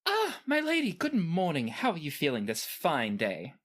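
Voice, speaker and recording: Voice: medium pitch, huge pitch swings, moderate pace, a bit bright, voice pushed up. A game master voicing a polite, formal female guard in a tabletop game. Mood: courteous.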